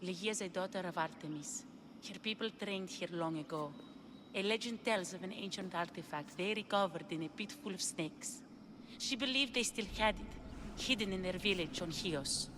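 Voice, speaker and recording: A woman's voice: on the low side, moderate pace, background noise, lightly acted. An actress playing a grieving young woman longing for her lost lover in a video game cutscene, speaking in an earnest, heartfelt way.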